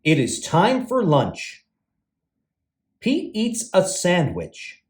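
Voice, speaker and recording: A man hosting a read-aloud for young children, reading a storybook in an expressive, deliberate way with sing-song emphasis. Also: sits high. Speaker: children's read-aloud host, teacher and entertainer.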